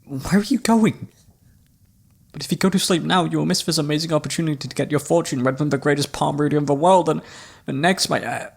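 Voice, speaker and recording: A man playing a flirty, theatrical travelling palm reader in a fantasy ASMR roleplay, teasing and dramatic with a breathy, husky voice. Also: on the high side, a little fast. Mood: pleading, haggling.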